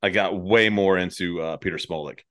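Low-voiced American man, blunt and slangy. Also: steady tone, normal speed, fairly bright tone. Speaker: podcast conversationalist. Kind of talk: casual chat.

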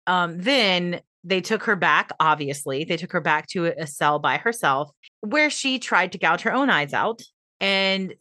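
A woman co-hosting a podcast, telling a true-crime story in relaxed casual chat and laughing at herself. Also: fairly low pitch, unhurried, dry recording, clean studio sound. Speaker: podcast co-host.

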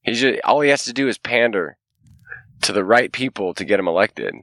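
An American man, a podcast co-host, chatting casually and hesitantly with a lot of swearing, and his voice is going hoarse. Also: fairly low pitch, lively intonation, a little fast, a bit bright, no echo. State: losing his voice. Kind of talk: casual chat. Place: outdoors by a fire.

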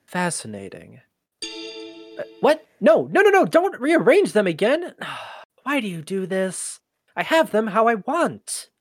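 An actor playing an inquisitive human archivist in an audio drama, with a conversational, halting, often exasperated manner. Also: medium pitch, wildly swooping pitch, soft background music, full character voice. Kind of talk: scripted audio drama acting.

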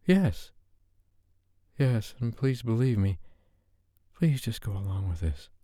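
A male narrator plays a shy young woman in a romance audiobook. The voice is lifted well up in pitch, hesitant and pleading, full of anxious pauses. The sound is close and dry. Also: sing-song, warm tone, no room sound, full character voice. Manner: halting, hesitant. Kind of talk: romance audiobook character dialogue.

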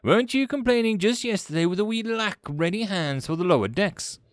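An imperious alien fleet commander from a sci-fi audio story, acted out by a man. He is pompous and snarling, quick to lose patience, and his pitch leaps high above normal talk in big swings. The sound is close and dry. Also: lively intonation, dry recording. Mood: incredulous, irritable. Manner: pompous, snarling, easily exasperated.

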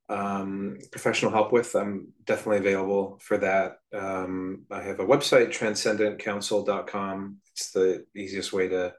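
A man talking casually as a podcast co-host, with measured, slightly hesitant phrasing. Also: lowish voice. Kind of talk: casual conversational talk.

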